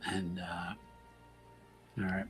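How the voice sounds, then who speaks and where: A man's voice: low-pitched, monotone, takes it slowly, a bit bright, breathy edge, faint music behind. A man taking part in a tabletop roleplaying game as a player, casually describing what his character does.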